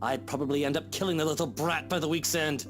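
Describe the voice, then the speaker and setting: A man's voice: fairly high pitch, fairly even intonation, fast talker, over music, pitched above the performer's own voice, close to an everyday voice. A man voicing a weary, curt young businessman husband in a visual novel, dry and grumbling. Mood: stern. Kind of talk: visual novel character reading.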